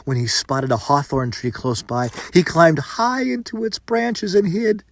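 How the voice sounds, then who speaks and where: A man's voice: on the high side, measured pace, gravelly, a little hiss or hum. A man reading a folktale as dramatic narration, with a theatrical, suspenseful and emphatic delivery.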